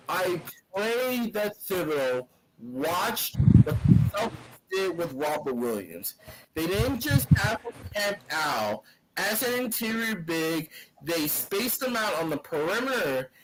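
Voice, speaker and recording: Sports podcast co-host, male, high and lively voice, casual debate delivery, restarts phrases, faint noise behind. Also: slow pace. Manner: animated, restarts phrases often.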